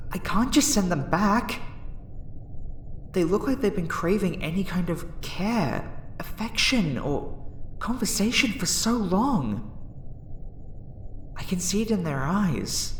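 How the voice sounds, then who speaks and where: Slow, gentle alien girl, played by a woman. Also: low-pitched, vocal fry, a little hiss or hum, exaggerated voice. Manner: soft, hesitant, talking directly to the listener. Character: gentle, flustered young alien woman scientist, kind and conflicted. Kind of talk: ASMR roleplay acting.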